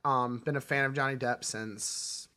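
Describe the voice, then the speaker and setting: Bright-voiced man in casual movie chat. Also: mid-range voice, steady tone, measured pace. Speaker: film podcast co-host.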